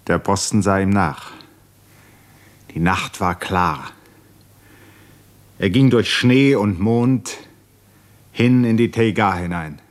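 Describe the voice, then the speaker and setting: A man narrating a literary radio drama in a grave, measured manner, with short, deliberate phrases. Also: on the low side.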